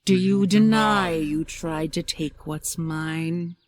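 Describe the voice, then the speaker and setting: A woman playing an imperious, jealous dragon queen in a fantasy audio drama, speaking in a regal, deliberate, threatening manner. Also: fairly low pitch. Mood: menacing, accusing. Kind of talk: fantasy audio roleplay acting.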